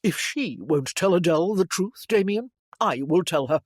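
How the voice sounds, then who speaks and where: A narrator takes on an earnest young gentleman from a period novel. He speaks formally and with emphasis, confessional and easily indignant. The pitch moves in a lively way, close and dry. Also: normal speed, no echo, lightly acted. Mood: earnest, calmer.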